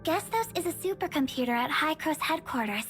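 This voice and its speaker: A woman voicing a young female researcher in an anime-style game, excitable and easily flustered, speaking over music. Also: sits high, brisk. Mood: earnest, explaining.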